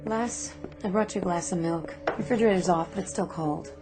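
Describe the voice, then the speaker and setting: An actress playing an anxious, caring suburban wife in a radio drama, worried and questioning. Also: female voice, on the low side, fairly quick, close to an everyday voice. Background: background music.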